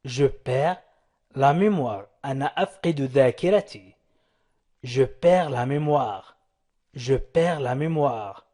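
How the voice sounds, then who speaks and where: Slow, husky man drilling phrases. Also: medium pitch, expressive, warm tone. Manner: repeats phrases slowly, splits them into chunks. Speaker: language teacher. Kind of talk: slow repetition drills for learners.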